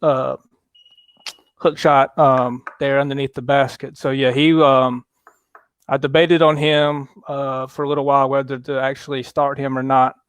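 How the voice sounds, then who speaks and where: A man hosting a casual sports chat show, talking in a relaxed, halting way with many fillers. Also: medium pitch, clear, resonant tone, dry recording. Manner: relaxed, halting, lots of fillers, reads viewer comments aloud. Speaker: podcast host.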